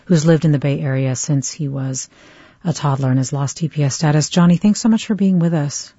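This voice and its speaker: Radio host, woman, measured delivery, husky voice, dull lo-fi sound. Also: sits low, mellow, no echo. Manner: measured, clear hosting delivery. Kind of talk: public radio call-in talk show.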